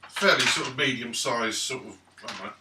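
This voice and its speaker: A man playing a tabletop role-playing game, talking casually and haltingly as he plans cautious moves aloud. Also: medium pitch. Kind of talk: casual chat during play. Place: indoor room at a gaming table. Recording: echoey room, distant mic, faint noise.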